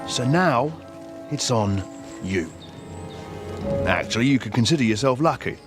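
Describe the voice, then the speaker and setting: Bandit chief played by a man, medieval game villain: slow, sneering, coarse, mocking, swinging intonation over score. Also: medium pitch, expressive, over music, close to an everyday voice. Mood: menacing. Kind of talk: video game cutscene acting.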